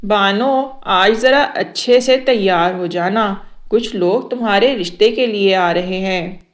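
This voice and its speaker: A woman's voice: medium pitch, slow pace, pitched below the performer's own voice, lightly acted. A woman voicing a caring middle-aged aunt in a storybook tale, giving instructions in a calling tone.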